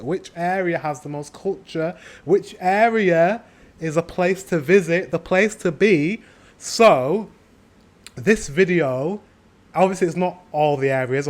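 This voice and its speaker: British male reaction host, upbeat, slightly high, chatty and musing. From Britain. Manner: chatty, thinks aloud with hums and asides to viewers. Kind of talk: casual reaction commentary.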